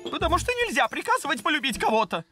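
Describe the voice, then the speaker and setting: An actor playing a grandiose cartoon pirate boatswain who teaches singing and is prone to drawn-out operatic notes. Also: male voice, very high voice, sing-song, over music. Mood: indignant. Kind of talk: cartoon voice acting.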